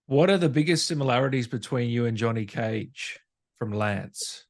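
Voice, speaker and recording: A man's voice: medium pitch, animated, moderate pace, no echo, clean studio sound. A man hosting a casual interview, putting informal questions to his guest. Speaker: interviewer, host.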